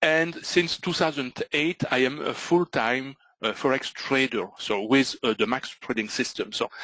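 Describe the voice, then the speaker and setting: A middle-aged Belgian man, not a native English speaker, guides a live online webinar. He halts often, filling gaps and restarting phrases. His voice sits at an ordinary pitch in a dry, close recording. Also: a bit bright, dry recording, poor audio. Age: middle-aged or older. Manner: hesitant, many fillers and restarts. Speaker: trading webinar presenter.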